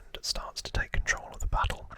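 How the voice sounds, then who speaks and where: A man hosts ASMR in a constant breathy whisper with a bright, crisp hissing edge. He tells trivia informally, feeling his way through it from memory. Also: brisk. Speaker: ASMR host.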